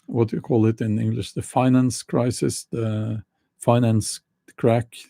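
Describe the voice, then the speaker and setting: A middle-aged man with a Norwegian accent talking casually to camera, slow and halting with many restarts. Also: mid-range voice, little pitch movement, vocal fry, mellow, dry recording, clean studio sound. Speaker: YouTuber.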